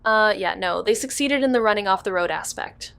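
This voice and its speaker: A woman's voice: mid-range voice, brisk, gravelly, a bit bright, smooth, no echo. Guarded young female detective. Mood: reassuring, uneasy. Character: guarded, weary young woman amateur detective. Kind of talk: audio drama dialogue.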